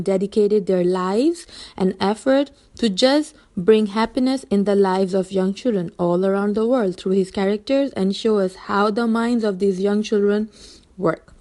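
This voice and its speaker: A young woman from Bangladesh gives a prepared seminar talk as a teacher. Her voice sits at an ordinary middle pitch, and she speaks earnestly and steadily, now and then restarting a phrase. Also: warm tone.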